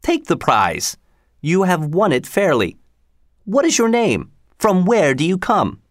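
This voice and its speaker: A man reading a storybook, voicing a pompous, proud male sheriff in a raised, haughty pitch. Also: very animated, unhurried, no room sound, studio quality. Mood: boastful.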